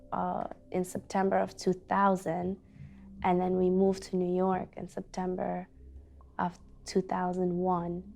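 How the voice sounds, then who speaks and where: A young woman who is a teacher, telling her family's story in an earnest, halting way with many fillers. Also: on the low side, vocal fry, dark tone, a little hiss or hum. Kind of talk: personal storytelling interview. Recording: faint background music.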